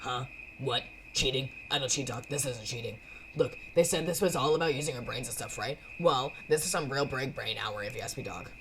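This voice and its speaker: A woman voicing a laid-back, slangy cat schemer who rambles eagerly in halting bursts, as in a game. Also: rumbling low, a bit bright, a little hiss or hum, pitched below the performer's own voice. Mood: insistent, relieved. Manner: halting, stoner-like slang delivery.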